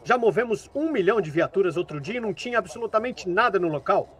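An actor playing a bureaucratic, sceptical small-town policeman in an audio drama, formal and unhurried. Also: high voice, animated, a bit bright. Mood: dismissive.

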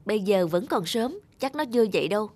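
A woman's voice: medium pitch, expressive, fast talker, darkish tone, natural voice. A woman voicing a young, doting and anxious mother in a cartoon. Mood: casual, unworried. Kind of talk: cartoon voice acting.

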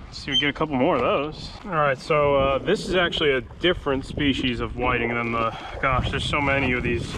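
A man in his thirties giving laid-back, chatty commentary while fishing from a kayak on open water, recorded on an action camera. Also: mid-range voice. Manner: laid-back, chatty, excited exclamations over catches. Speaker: fishing vlogger. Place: kayak on open water under a pier, outdoors. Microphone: action camera mounted on kayak. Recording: outdoor wind and water noise. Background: water and wind ambience.

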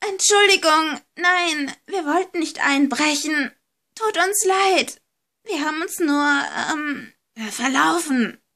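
Timid, apologetic youngster in a horror audio play, higher-pitched and unhurried, acted by a woman. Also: bright, forceful tone, full character voice. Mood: anxious, apologetic. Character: timid, apologetic young friend exploring a haunted villa. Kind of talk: amateur horror audio story.

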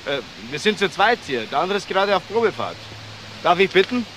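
A man playing an agitated young car salesman in a crime radio drama, excitable, indignant and colloquial. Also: high-pitched, brisk, slight background noise, rough recording. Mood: shaken.

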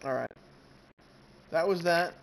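A man's voice: on the high side, slow pace, slight background noise, low-quality recording. A man giving casual commentary as he plays a video game, talking as the host. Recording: game music clearly behind voice. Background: video game music.

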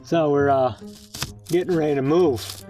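A man chatting casually in a low-key, wry way. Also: unhurried, mellow, faint music behind. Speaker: voice actor. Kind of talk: casual chat.

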